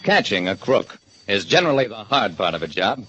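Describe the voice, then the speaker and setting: An actor playing a private detective who narrates in a dry, deliberate way in a radio drama. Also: male voice, fairly low pitch, big pitch swings, background noise. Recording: dull lo-fi old radio broadcast.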